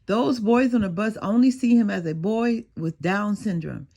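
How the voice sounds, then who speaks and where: A woman voicing a caring, encouraging father in a children's storybook, gently instructive and reassuring. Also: mid-range voice, moderate pace, close to an everyday voice. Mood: proud, instructive. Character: caring, wise, encouraging father of a young boy.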